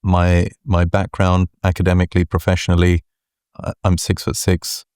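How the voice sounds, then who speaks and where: A man with a deep voice answering interview questions as a guest, measured and deliberate, often checking in with "right?". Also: monotone, darkish tone, smooth, no echo, clean studio sound. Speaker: venture capital partner, guest.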